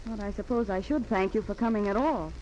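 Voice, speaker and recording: A woman's voice: medium pitch, fast talker, mellow, lightly acted. Actress as arch, scolding society woman, old radio. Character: exasperated, sharp-tongued society fiancée. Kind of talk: 1940s radio acting. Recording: dull, hissy 1940s radio recording.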